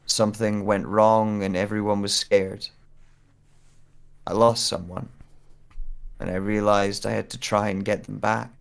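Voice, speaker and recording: Man acting searching amnesiac, low gravelly voice. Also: little pitch movement, unhurried, pauses often. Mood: earnest, remembering. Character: anxious searcher with lost memories, narrating a journal. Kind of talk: audio drama monologue.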